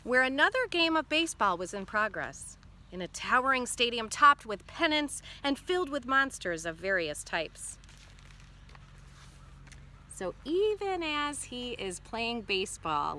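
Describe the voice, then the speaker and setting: Female primary teacher, bright crisp-edged delivery, expressive storybook read-aloud with explanatory asides, slight background noise. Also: medium pitch. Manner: instructive, checking in with questions. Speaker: teacher reading a picture book aloud.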